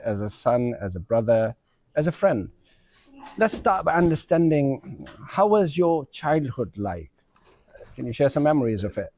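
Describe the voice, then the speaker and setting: A man's voice: mid-range voice, warm tone, dry recording. A man with a Pakistani accent hosting a semi-formal interview, speaking politely and deferentially to his guest. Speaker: podcast host interviewing a guest.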